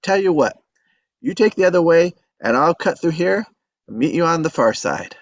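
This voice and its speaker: A man's voice: a little high, lively intonation, moderate pace, dry recording. A man playing an adventurous, easygoing young small-town man who tells his own story at a table read. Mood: breezy. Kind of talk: table-read acting with first-person narration. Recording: dull lo-fi microphone sound.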